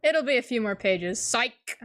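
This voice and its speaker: A hoarse woman in casual reaction chat, excitable and swearing, laughing often. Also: fairly high pitch, a little slow. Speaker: webcomic reaction streamer.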